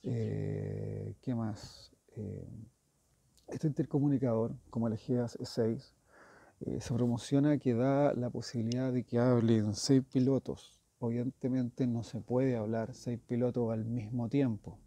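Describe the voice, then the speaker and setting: A man's voice: medium pitch, steady tone, unhurried, gravelly, dark tone, no room sound. A man giving a casual hands-on review as a motorcycle gear reviewer, informal and thinking aloud.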